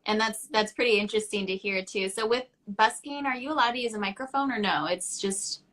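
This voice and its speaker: Bright, upbeat woman interview host. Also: mid-range voice, brisk. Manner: upbeat, enthusiastic reactions. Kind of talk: casual live-stream interview.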